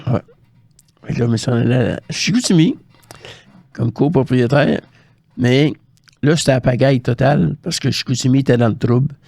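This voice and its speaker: Older quebec guy chatting in an interview, dry sound, normal pitch, tells stories w lots of quoted dialogue, very colloquial. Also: normal speed, creaky, long pauses, darkish tone, breathy edge, no room sound. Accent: Quebec French. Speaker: guest, former hockey scout. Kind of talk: casual anecdotal storytelling in an interview.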